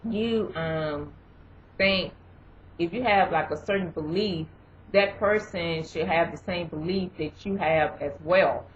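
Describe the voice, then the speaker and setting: A young woman vlogger giving casual advice, rambling and filling pauses with "you know" and "um". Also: on the low side, slight background noise. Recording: dull lo-fi recording.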